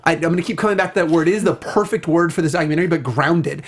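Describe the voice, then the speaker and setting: A co-host of a casual review show talks at a middle pitch. The delivery is chatty and emphatic, full of slangy asides and mock quotes. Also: rapid-fire.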